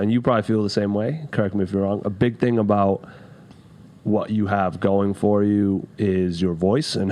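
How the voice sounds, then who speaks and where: A man with a very deep voice hosts a podcast conversation. He asks long, curious questions in a loose, easygoing way. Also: darkish tone. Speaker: podcast host. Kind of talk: casual interview questions.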